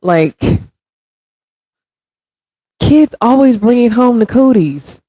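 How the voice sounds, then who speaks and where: A woman in her thirties hosting a casual livestream, congested and with a raspy throat, chatting directly with viewers and reading their comments. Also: a little high, lively intonation, slow, mellow, no room sound, poor audio. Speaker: stream host.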